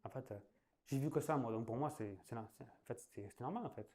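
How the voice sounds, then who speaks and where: A man in his late thirties with a southwestern French accent, giving a sales masterclass in a relaxed, rambling way, leaning on fillers and laughing at himself. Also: mid-range voice, quick pace. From southwest France. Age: 30s. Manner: chatty, loose, full of fillers, laughs at himself. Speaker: sales trainer. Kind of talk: casual spoken masterclass.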